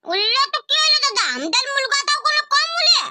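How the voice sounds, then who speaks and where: A woman's voice: very high-pitched, big pitch swings, fast, bright, forceful tone, dry recording, heavily acted. A woman voicing a stubborn, whiny village little brother who pleads and insists, in a Purulia Bengali accent. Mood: eager.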